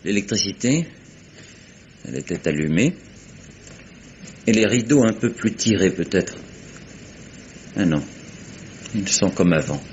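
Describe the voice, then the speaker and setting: An actor playing a hesitant, servile manor butler in a radio mystery, speaking haltingly and carefully. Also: male voice, low voice, expressive, a little mellow, lo-fi sound, slight background noise. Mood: hesitant, deferential. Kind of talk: radio mystery drama acting.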